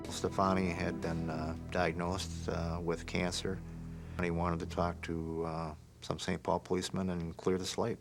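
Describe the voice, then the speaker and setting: A man recounting a case as an interviewed investigator, matter-of-fact, with hesitant pauses. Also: low-pitched, little pitch movement, creaky, music in the background.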